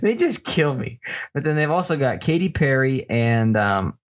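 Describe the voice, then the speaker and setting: A man's voice: medium pitch, very animated, normal speed. An American man speaking as a panelist on a comedic talk show, in casual and opinionated banter.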